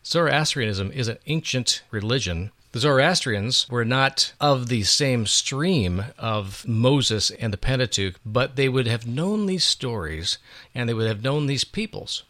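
A man's voice: medium pitch, animated, a little slow, bright, forceful tone, no echo. Earnest male radio host, dry studio sound. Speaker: radio show host and storyteller. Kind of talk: reflective radio discussion with explanations and appeals to listeners.

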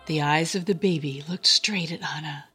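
A woman with a breathy, husky voice narrating a scripted story in a reverent, expressive manner. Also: deep voice, normal speed, faint music behind. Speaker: show host and story narrator.

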